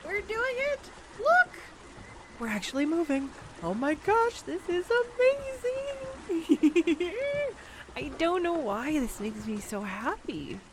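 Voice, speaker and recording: A woman playing a clumsy, excitable young woman on a first date in a roleplay audio, with a bubbly, hesitant, playfully teasing manner. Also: very high-pitched, slow, background noise, full character voice. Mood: thrilled. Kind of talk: scripted girlfriend roleplay audio, intimate one-sided dialogue.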